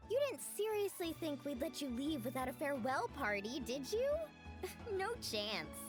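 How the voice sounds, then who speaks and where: Playful, high-voiced young girl. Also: moderate pace. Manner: playful, animated. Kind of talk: video game voice acting. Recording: loud music under the voice.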